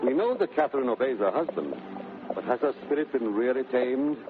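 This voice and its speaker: A man narrating a radio play in expressive, measured, scripted 1930s storytelling style. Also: medium pitch. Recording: narrow vintage radio sound, loud music behind. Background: loud music.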